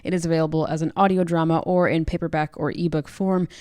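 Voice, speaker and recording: A woman's voice: low voice, little pitch movement, fast, darkish tone, dry recording, studio quality. A woman co-hosting a casual book discussion podcast in a playful, teasing manner. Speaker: podcast co-host.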